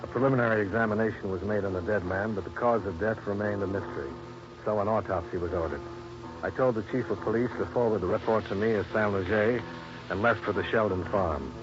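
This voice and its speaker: An actor playing a tough, dry American insurance investigator in hard-boiled old-time radio style. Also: male voice, lowish voice, fast talker, over music, natural voice. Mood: grim, matter-of-fact. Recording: muffled, hissy old radio recording.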